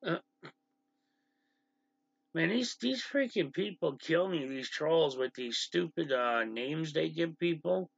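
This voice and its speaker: A man with a gravelly voice hosting a casual livestream, talking animatedly and with exasperation to his chat. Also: a little high, dry recording. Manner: animated, exasperated, quick asides to the stream chat. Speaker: livestream host. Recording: slightly dull lo-fi stream audio.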